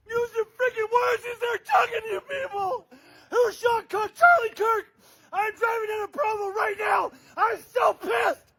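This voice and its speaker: A woman crying and shouting in a frantic, furious, swearing rant from a car while driving. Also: very high voice, creaky, low-quality recording. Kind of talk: raw emotional rant.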